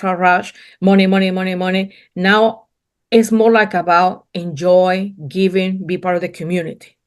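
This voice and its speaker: A middle-aged woman from Colombia, speaking English with a thick Colombian accent as a non-native speaker. She is a business owner being interviewed, and she talks earnestly in broken English, often repeating words. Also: medium pitch. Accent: Colombian Spanish. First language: Spanish. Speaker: guest, painting business owner. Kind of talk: casual interview conversation.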